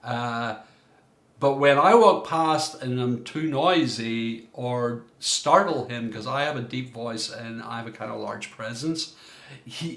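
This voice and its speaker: A man's voice: medium pitch, a bit bright. A middle-aged man from Ireland, a coach giving a recorded workshop talk in a reflective way, often searching for his words. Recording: roomy echo.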